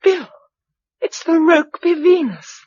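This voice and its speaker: A brisk, affectionate British woman in a radio play. Her voice sits high and swoops with feeling, quick to exclaim and to mock herself. The recording is somewhat dull. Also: lively intonation, a little mellow. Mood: playful, eager. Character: spirited, affectionate woman survivor, briskly self-mocking. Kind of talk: British radio drama acting. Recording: muffled radio drama recording.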